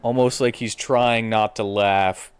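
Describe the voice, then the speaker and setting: A man's voice: on the low side, fairly even intonation, measured pace, clear, resonant tone, no room sound. A man running a tabletop role-playing game as game master, narrating the action in a playful way. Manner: acts out combat with playful sound effects. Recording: music playing behind the voice. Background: background music.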